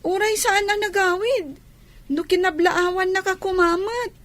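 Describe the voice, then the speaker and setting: A woman's voice: extremely high pitch, measured pace, a bit bright, dry recording. A woman with an Ilocano accent voicing a young daughter in a radio drama. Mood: pleading. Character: young daughter asking about her father. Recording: light music underneath.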